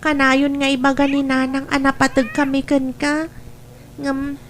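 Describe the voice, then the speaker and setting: A woman's voice: high voice, completely flat delivery, unhurried, slight background noise. A woman with an Ilocano accent playing an earnest young child in an audio drama. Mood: earnest, wistful. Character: earnest young eldest child longing for father's attention.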